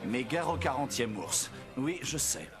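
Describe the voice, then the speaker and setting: An actor playing a brave, firm Russian bear hunter in a French cartoon. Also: male voice, mid-range voice, big pitch swings, unhurried. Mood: cool, confident. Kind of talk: French animated series dubbing. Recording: loud music under the voice.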